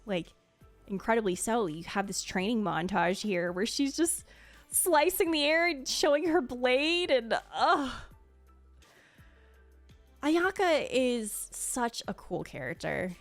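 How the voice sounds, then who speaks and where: A woman's voice: on the high side, animated, slow, no echo, faint music behind. A woman streamer giving casual, gushing commentary as she reacts to something she is a fan of. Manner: gushing, enthusiastic. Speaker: streamer and video creator.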